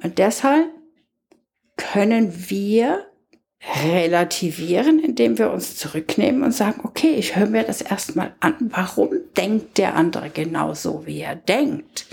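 A woman speaking in a reflective, conversational discussion, deliberate and emphatic in the way she makes her points. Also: medium pitch, expressive, vocal fry, darkish tone, slightly rough. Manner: deliberate, emphatic, uses rhetorical questions.

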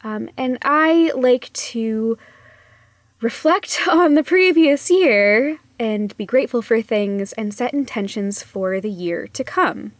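A woman talks to podcast listeners in a somewhat high voice. She is casual and reflective, with a gentle conversational way of speaking that softens as phrases end. The recording is dry and close. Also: takes it slowly, no echo. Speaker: podcast segment presenter.

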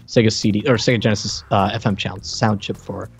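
A man co-hosting a podcast, reviewing a game in casual chat and thinking aloud with halting, repeated words. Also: fairly low pitch, fairly even intonation, faint music behind. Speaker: podcast co-host. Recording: clearly noisy background with clicks.

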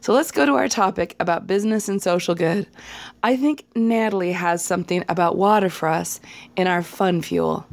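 A woman hosting a podcast, hoarse from a cold, reading an upbeat, grateful intro. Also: lowish voice, moderate pace. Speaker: podcast host.

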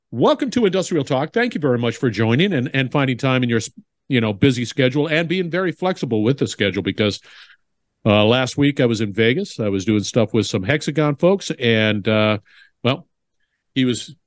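Host: middle-aged man, energetic and emphatic, average pitch. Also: moderate pace. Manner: upbeat and emphatic, repeats words. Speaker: podcast host. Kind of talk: podcast intro and interview.

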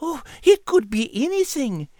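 High-pitched French lady critter. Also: very animated, a little slow, mellow, dry recording, studio quality. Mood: teasing. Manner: playful, teasing. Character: playful, teasing French female animal podcast host. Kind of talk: scripted children's podcast character banter.

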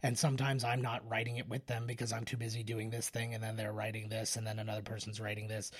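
A man's voice: lowish voice, monotone, fast talker. A man co-hosting a casual film podcast, explaining at length in a rambling way and often interrupting himself. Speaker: podcast co-host. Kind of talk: casual film discussion banter.

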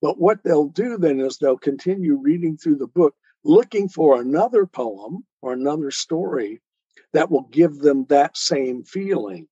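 A man's voice: a little high, lively intonation, measured pace, mellow, husky, no echo. A man answering as an interview guest in a conversational, earnest and explanatory way, on a lo-fi recording. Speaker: interview guest, poet and author. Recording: dull lo-fi sound.

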